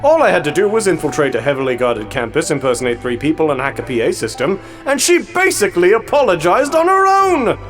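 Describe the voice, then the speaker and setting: Anime parody spy, played by a man: suave, sarcastic schemer, lively intonation, music underneath. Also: on the high side, quick pace, few pauses. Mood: smug. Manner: dry, sarcastic. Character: suave adult male spy husband, scheming, dryly sarcastic.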